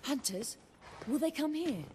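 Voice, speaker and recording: An anxious, dutiful woman in a fantasy game. Her high voice moves animatedly, and there is clear noise behind it. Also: expressive, unhurried, warm tone. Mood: worried. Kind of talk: dramatic video game acting.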